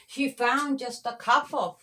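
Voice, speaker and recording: A woman with a fairly high voice reads a children's story in rhyme. Her delivery is very slow and follows the verse in a sing-song rhythm. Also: fairly even intonation, sharp tone. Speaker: author reading her own story. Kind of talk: children's story read aloud in rhyming verse.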